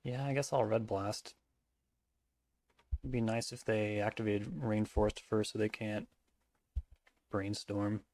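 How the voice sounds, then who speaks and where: A man casually commentating his own card game match, thinking aloud in mumbled asides, his voice hoarse and cracking at times. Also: on the low side, level tone, measured pace, long pauses, a little mellow, no room sound.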